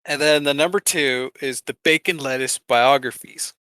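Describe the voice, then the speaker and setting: Bright, clear-voiced man on a podcast. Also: mid-range voice, normal speed, no room sound. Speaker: podcast co-host. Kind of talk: casual chat, presenting a countdown list.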